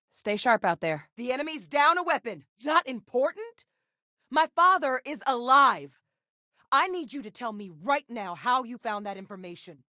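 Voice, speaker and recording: A battle-hardened female veteran turned scientist from a sci-fi video game, acted by a woman. Her voice sits a little low and is captured close and dry. She talks in a clipped, no-nonsense way, matter-of-fact and used to giving orders. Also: no echo, lightly acted. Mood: shaken, urgent. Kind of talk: scripted video game character dialogue.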